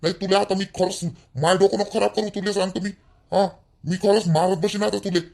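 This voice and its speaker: A man's voice: sits high, fairly even intonation, brisk, gravelly. A man playing an angry young man of the family who scolds and threatens. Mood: scolding. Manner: shouting, threatening. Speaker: actor in a family drama skit.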